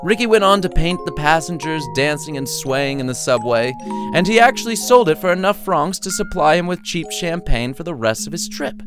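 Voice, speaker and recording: Guy narrating a short story, kinda wry and playful, really animated delivery, some music behind him. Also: a little high, normal speed. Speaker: author reading own short story. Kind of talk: scripted audiobook narration.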